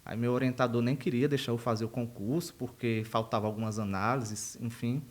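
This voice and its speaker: A man's voice: mid-range voice, steady tone, a little fast. A man in his thirties, a university professor, chatting in a casual interview and wandering off into side stories. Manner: chatty and digressive, laughs at himself. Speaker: university professor, podcast guest.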